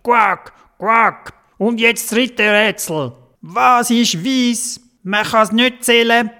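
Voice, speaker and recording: A man's voice: extremely high pitch, takes it slowly, sharp tone, clear, resonant tone, voice pushed up, heavily acted. A man with a Swiss German accent voicing a bossy, riddle-posing frog in a children's story, punctuating his speech with quacks. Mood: teasing, riddling.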